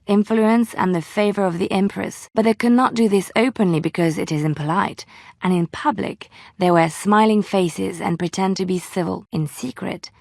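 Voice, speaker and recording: An actress playing a wistful female bard and former spy in a video game, with a gentle, measured, storytelling way of speaking. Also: medium pitch, no echo, studio quality, lightly acted. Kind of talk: video game character dialogue.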